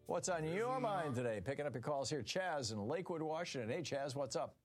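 A man's voice: a little high, very animated, fairly quick, faint music behind. An American man speaking as the host of a radio call-in show. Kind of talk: live call-in talk and host-read ad. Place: radio studio.

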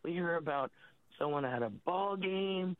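An American woman calling a radio show over a tinny phone line, husky from a cold, chatting in a halting, rambling way. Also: fairly low pitch, dry recording. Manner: halting, searching for words. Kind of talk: casual, rambling phone-in chat. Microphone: telephone.

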